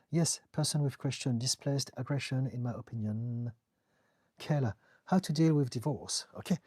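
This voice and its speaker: A middle-aged man from France with a French accent, calmly reading viewer questions and answering them as a therapist on a live stream. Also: medium pitch, slightly rough, dry recording. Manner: calm, reassuring, reads out viewer comments with light laughs. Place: home in the UK.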